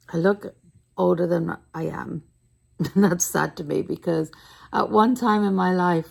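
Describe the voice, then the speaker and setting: A middle-aged woman with a raspy, tired voice, chatting casually to camera in a rambling, self-deprecating daily vlog. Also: lowish voice, darkish tone. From United Kingdom. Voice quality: raspy, gravelly. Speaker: vlogger.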